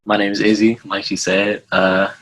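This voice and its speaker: A man's voice: lowish voice, little pitch movement, normal speed, fairly bright tone. A man in his twenties, easygoing and a little scattered. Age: 20s.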